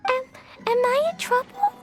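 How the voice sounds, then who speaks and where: Childlike little girl, innocent, matter-of-fact, very high, anime game character, light music behind. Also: lively intonation, moderate pace. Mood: worried, evasive. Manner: childlike, guileless, simple phrasing. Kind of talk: anime-style video game voice acting.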